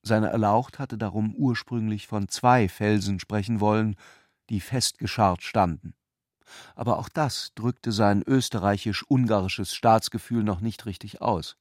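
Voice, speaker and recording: Low-voiced male literary narrator, dry sound. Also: steady tone, measured pace, darkish tone, no room sound. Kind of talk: literary narration in a radio play. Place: dry studio.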